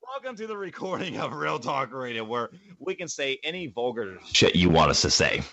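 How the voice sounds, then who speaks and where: A man hosting a podcast in casual chat, rambling and repeating his phrases over a low-fidelity voice call. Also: very animated, moderate pace, creaky, dull audio, a crowd in the background. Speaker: podcast host. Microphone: voice call mic, close.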